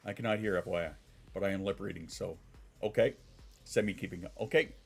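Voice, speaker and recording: A man's voice: low voice, steady tone, brisk, vocal fry, husky. A man in his thirties, congested and sniffling, chatting casually on a live stream, rambling, swearing and reacting to chat. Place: home.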